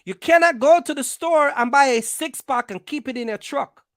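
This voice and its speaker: A woman's voice: high-pitched, normal speed, no room sound, clean studio sound. A woman hosting an informal explainer for viewers, speaking bluntly and emphatically in colloquial, slangy language and repeating phrases for stress. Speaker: trucking channel host giving advice.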